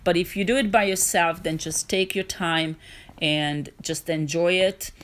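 A woman's voice: on the low side, steady tone, measured pace, a bit bright. A woman narrating her hiking vlog in a casual, earnest, encouraging way, with small restarts. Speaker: hiking vlogger.

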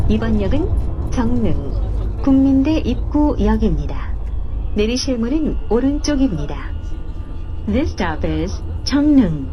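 A woman reading scripted station announcements as a train announcer, formal, measured and carefully enunciated. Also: a little high, very animated, lo-fi sound, slight background noise. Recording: uneven cheap-mic capture, music behind. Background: faint music, train ride.

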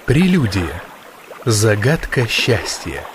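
A man narrating a nonfiction audiobook in measured, deliberate phrases with pauses. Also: fairly low pitch, very animated, slight background noise. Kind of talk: scripted narration of a nonfiction book.

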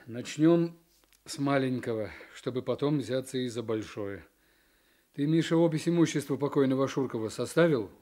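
An actor playing a stern, decisive district official, authoritative and clipped, in a radio play. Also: male voice, medium pitch, normal speed, mellow, natural voice. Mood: businesslike. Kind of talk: Soviet radio play acting.

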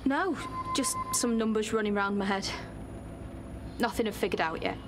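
A woman's voice: medium pitch, very animated, moderate pace, close to an everyday voice. A woman voicing a distracted young woman. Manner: absent-minded, hesitant. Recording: game scene with ambient hum.

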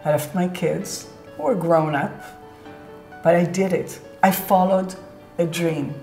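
A woman in her sixties with an Israeli accent, calmly recounting her own life journey in an earnest, measured way. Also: fairly low pitch. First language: Hebrew. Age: 60s. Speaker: presenter telling her life story. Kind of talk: reflective personal narration. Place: indoor studio set with curtain backdrop. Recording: roomy echo with faint background music.

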